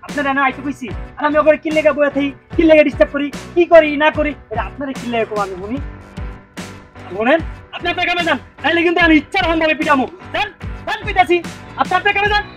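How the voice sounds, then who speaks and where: A woman's voice: high voice, quick pace, faint music behind, natural voice. Woman doing a brash rustic young loafer. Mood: threatening. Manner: coarse rustic dialect, taunting then giggly. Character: grinning idle young man, brash and rustic.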